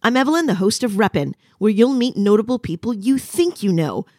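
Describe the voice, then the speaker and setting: A woman's voice: mid-range voice, very animated, brisk, dark tone, no room sound, clean studio sound. A woman podcast host reading a scripted promotional spot.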